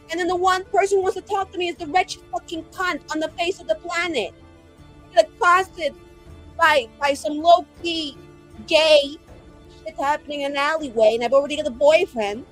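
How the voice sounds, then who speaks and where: Vain female mage, played by a woman at a game table: high-pitched, sarcastic, profane, fed up. Faint music underneath. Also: a bit bright. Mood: aggrieved, shrill. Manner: sarcastic, foul-mouthed ranting. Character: exasperated, vain, profane woman mage sending dream messages.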